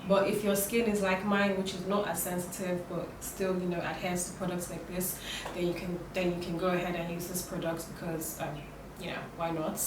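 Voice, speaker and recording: A young woman talks her viewers through a makeup routine in a relaxed, rambling way, her voice croaky from a cold. She is recorded in an echoey room from some distance away. A steady hiss of noise sits under her voice. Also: lowish voice, brisk. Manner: chatty, with many fillers and restarts. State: hoarse from a cold. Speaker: beauty vlogger. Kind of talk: casual makeup tutorial talk. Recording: roomy, distant, noisy home recording.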